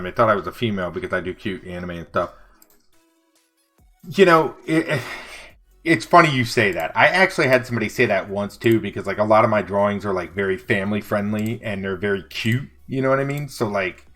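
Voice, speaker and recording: Deep-voiced middle-aged man, easygoing livestream host; low pitch, animated swings, soft music behind. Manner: relaxed, rambling, reads and answers chat. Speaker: livestreaming vector artist. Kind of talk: casual livestream chat while drawing. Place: home studio desk.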